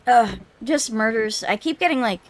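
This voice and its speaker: A woman's voice: fairly high pitch, animated, moderate pace. A woman hosting a livestream readthrough in casual chat, with some phlegm in her throat. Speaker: streamer hosting a visual novel readthrough.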